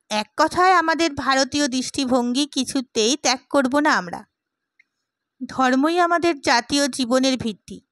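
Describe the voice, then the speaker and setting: A woman reading as an idealistic, opinionated gentleman in an audiobook, with an oratorical, emphatic manner. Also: sits high, no echo. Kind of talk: dramatised audiobook character.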